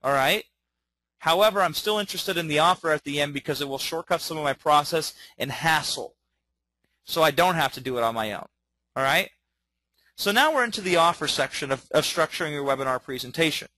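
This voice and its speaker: A man teaching a webinar in an energetic way, often checking in with okay and all right. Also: a little high, a little fast, dry recording, low-quality recording. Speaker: webinar trainer.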